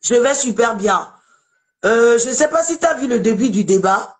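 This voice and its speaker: A woman's voice: a little high, expressive, moderate pace. A woman from Togo hosting a casual live debate, chatty and emphatic, often repeating herself. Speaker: live show host.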